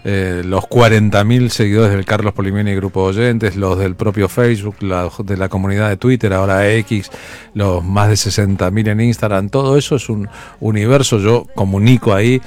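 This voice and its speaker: A man's voice: fairly low pitch, fairly even intonation, normal speed, darkish tone. A man hosting a late-night radio show, thanking listeners and chatting with callers and guests. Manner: thanking listeners, reading messages. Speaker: radio host.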